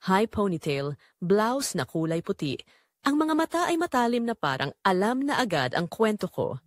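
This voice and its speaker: A woman's voice: mid-range voice, sing-song, normal speed, no room sound, studio quality. A woman reading a scripted first-person drama narration in an emotive, dramatic way.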